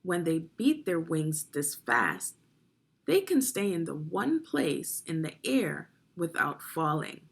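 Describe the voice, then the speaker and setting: A woman's voice: deep voice, sing-song, takes it slowly, vocal fry, slightly rough. A woman reading children's nonfiction aloud as a teacher, instructive and putting guiding questions to young listeners. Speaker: read-along narrator and reading teacher.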